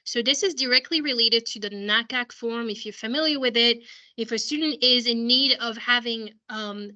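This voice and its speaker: A woman school counselor who is losing her voice, explaining a software walkthrough to parents in an explanatory way, often restarting her sentences, over a video call. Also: a little high, normal speed.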